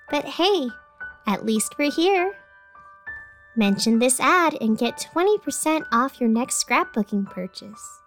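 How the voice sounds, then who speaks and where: A woman playing a cheerfully menacing announcer in a parody radio commercial, with deadpan, blunt sales patter. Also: sits high, heavily acted. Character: cheerfully menacing female craft store advertisement announcer.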